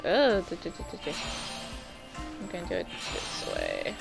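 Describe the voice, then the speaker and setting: A woman's voice: on the low side, huge pitch swings, moderate pace, vocal fry, loud music behind the voice. A woman with a sore throat, hosting a let's play in chatty, rambling, casual gameplay commentary.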